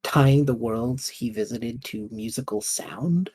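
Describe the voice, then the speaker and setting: A man's voice: mid-range voice, slow pace, a little mellow, slightly rough, no room sound. A man hosting a casual interview, talking in an enthusiastic and thoughtful manner. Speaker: host and audio fiction creator.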